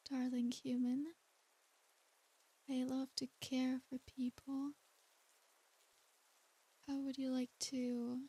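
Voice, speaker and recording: A woman playing a tender, doting, centuries-old succubus in a soothing, soft and breathy ASMR roleplay. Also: sits high, full character voice, low-quality recording. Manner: soothing, coaxing, hushed, with pet names.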